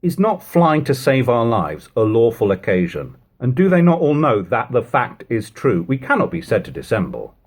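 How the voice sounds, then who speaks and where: A man reads a defiant old-time soldier turned baker in a storybook novel. He argues his practical case emphatically, with a husky voice that leaps up and down in pitch. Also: huge pitch swings, normal speed, few pauses. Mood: defiant, indignant. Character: defiant, practical ex-soldier biscuit baker, plain-spoken Londoner.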